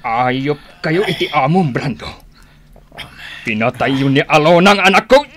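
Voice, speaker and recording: A man's voice: a little high, animated, normal speed, fairly bright tone, slight background noise. A man with an Ilocano accent acting a vengeful, grieving older father in a radio drama. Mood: furious, grieving. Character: vengeful older father Tata Ignas, raging with grief.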